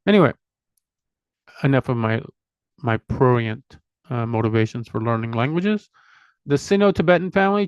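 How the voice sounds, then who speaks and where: A man's voice: mid-range voice, smooth, no room sound, dull audio. A middle-aged man hosting a language channel, talking casually and rambling from one item to the next, poking fun at himself along the way. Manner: chatty, digressive, self-deprecating, lists items one by one.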